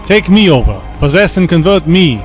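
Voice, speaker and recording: A man's voice: fairly high pitch, very animated, normal speed, soft background music. A man playing a plain-spoken, daring companion to a time traveller in a sci-fi audio drama. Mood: determined.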